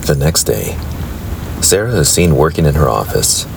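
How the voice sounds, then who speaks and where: A man's voice: extremely low pitch, sing-song, normal speed, vocal fry, background noise, heavily acted. A man narrating a spooky radio play in a solemn storytelling style. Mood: ominous storytelling.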